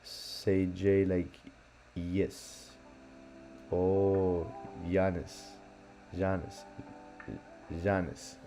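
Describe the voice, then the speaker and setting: A young man from Miami with a lowish, soft and mellow voice, hosting a laid-back live stream. He thinks out loud in a loose, rambling way, full of "you know" and "um". Faint lo-fi music plays quietly behind him. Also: flat delivery, drawn-out words, long pauses. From Miami, USA. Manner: loose, thinking aloud, heavy fillers. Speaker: livestream host, forex trader. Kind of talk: casual live-stream commentary. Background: faint lo-fi background music.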